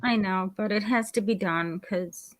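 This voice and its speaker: A woman's voice: medium pitch, measured pace, no room sound. A woman in her forties chatting in a bubbly, talkative way on a group call. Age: 40s. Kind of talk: casual group video chat.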